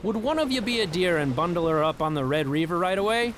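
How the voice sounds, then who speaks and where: High, swooping, jovial pirate captain. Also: male voice, expressive, quick pace, fairly bright tone, slight background noise. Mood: mocking, genial. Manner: folksy, mock-cheerful politeness. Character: large, jovially menacing red-bearded pirate captain, ruthless. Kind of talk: audio fantasy drama acting.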